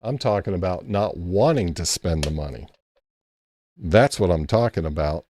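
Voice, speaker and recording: A man's voice: sits low, animated, moderate pace, a little mellow, slight background noise. A man hosting a casual late-night ham radio live stream, rambling easily and thanking chat members by name.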